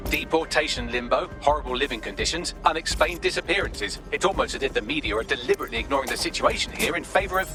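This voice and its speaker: An actor playing a witty, chatty AI assistant in a video game, full of dry sarcasm. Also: male voice, a little high, fast, hardly any pauses, lightly acted. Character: witty sardonic male AI assistant. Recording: loud music behind the voice. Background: game music.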